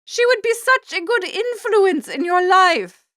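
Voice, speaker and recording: Very high-pitched, imperious older aunt. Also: female voice, expressive, fairly bright tone, no echo, studio quality, heavily acted. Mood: insistent. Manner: imperious, drawn-out lecturing. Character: domineering upper-class older aunt, scolding and cold. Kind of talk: audiobook character acting.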